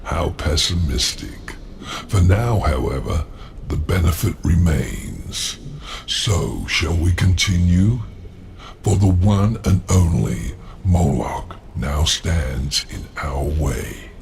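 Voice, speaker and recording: An actor playing a proud, scheming demon lord in a video game, speaking with gravelly, imperious menace. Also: male voice, darkish tone, slight background noise, full character voice. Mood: cool, commanding. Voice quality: gravelly, low. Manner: imperious, deliberate, silky menace. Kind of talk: video game cutscene acting.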